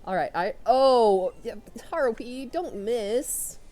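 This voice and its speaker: A young woman commentating a video game run, loud and excitable, talking to her characters as she plays. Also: on the high side, slow pace, a little hiss or hum. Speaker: let's player. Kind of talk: casual gameplay commentary.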